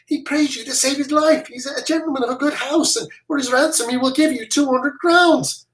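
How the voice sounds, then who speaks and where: A single reader voicing a clever young serving boy, pitched higher and speaking with wry quickness. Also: close to an everyday voice. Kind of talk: reading a play aloud. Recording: roomy echo.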